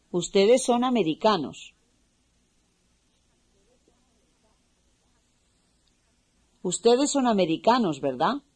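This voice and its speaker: A woman with a Spain Spanish accent playing a polite, friendly Spanish woman in a language-course dialogue, speaking slowly and clearly for learners. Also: lowish voice. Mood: clear, deliberate. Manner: slow and clearly spoken for learners.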